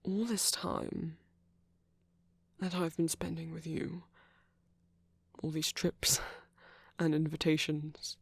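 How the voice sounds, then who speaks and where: A man playing a gentle, nervous king in an intimate ASMR roleplay, speaking formally and earnestly with soft hesitations. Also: fairly high pitch, level tone, slow, creaky, long pauses, breathy edge, no echo, full character voice. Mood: earnest, adoring. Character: gentle, nervous king confessing love to a prince.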